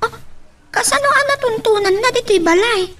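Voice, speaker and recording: An actress playing an anguished young daughter in an Ilocano radio drama, with an Ilocano accent and an emotional, indignant manner. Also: female voice, very high voice, moderate pace, fairly bright tone, a little hiss or hum. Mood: concerned, polite. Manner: tearful, indignant outbursts. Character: anguished young daughter rejecting her long-absent mother.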